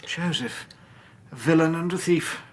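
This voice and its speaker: An actor playing an earnest, gentle and rather shaken Victorian gentleman in a radio drama, with an English accent and a husky voice. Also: a little high, a little slow. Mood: dismayed.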